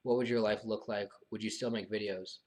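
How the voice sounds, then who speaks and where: A young man live streaming alone, answering viewer questions in a hesitant, halting way with many fillers, his voice tiring from long talking. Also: on the low side, fairly even intonation, slight background noise. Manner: soft, subdued, earnest. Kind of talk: casual live stream monologue.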